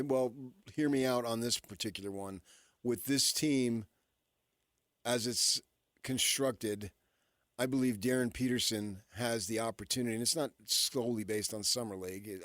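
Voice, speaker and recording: A man co-hosting a sports talk radio show, making his case in deliberate, measured and rather formal phrases. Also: lowish voice, fairly even intonation, fairly bright tone, no room sound, clean studio sound.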